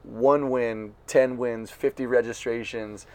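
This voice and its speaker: A man's voice: medium pitch, steady tone, slow pace, a little mellow, smooth. A man hosting a podcast, chatting casually and enthusiastically, joking and cutting in on his guest. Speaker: podcast host. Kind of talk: casual interview chat.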